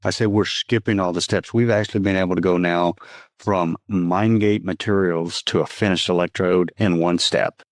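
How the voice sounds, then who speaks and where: Deep-voiced Texan man, earnest and measured. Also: steady tone, no echo, clean studio sound. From Texas. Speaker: company founder guest. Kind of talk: podcast interview answers.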